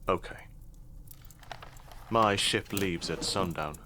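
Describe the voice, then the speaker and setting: An actor playing a middle-aged, shrewd and dry-witted noble father in an audio drama, speaking in a measured, formal, wry way. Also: sits low, pushed and bright, slight background noise, exaggerated voice. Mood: calm, probing.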